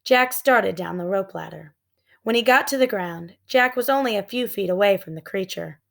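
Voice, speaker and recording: A woman reading a children's story aloud as expressive narration, with measured, suspenseful phrasing. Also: mid-range voice, gravelly. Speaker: storybook reader.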